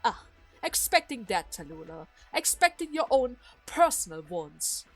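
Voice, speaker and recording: A woman voicing a manipulative older male duke in a dramatic game story, speaking in a measured, formal and condescending way. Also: on the high side, sing-song, faint music behind, poor audio. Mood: calmly condescending. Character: scheming older male duke, coolly manipulative adoptive father.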